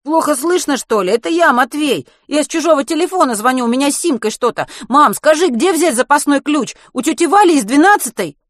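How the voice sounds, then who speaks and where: A woman's voice: a little high, big pitch swings, unhurried, few pauses, a bit bright, no room sound, clean studio sound, pitched above the performer's own voice. A woman voicing an agitated, impatient teenage boy in an audiobook, blurting out exclamations and questions. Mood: urgent, indignant.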